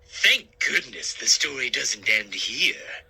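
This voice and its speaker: A man's voice: medium pitch, very animated, slow pace, gravelly, pushed and bright, husky, exaggerated voice. A man voicing a gleeful, wisecracking male ventriloquist dummy who mocks and makes puns in an audiobook interlude. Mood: gleeful mocking. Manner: mocking, punning, cackling.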